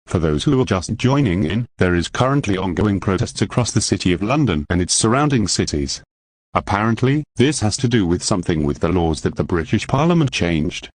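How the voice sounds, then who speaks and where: Male news anchor for breaking bulletins. Low voice, animated pitch movement, dry recording. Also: a little fast, dry recording. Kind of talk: breaking news report in a fictional emergency scenario.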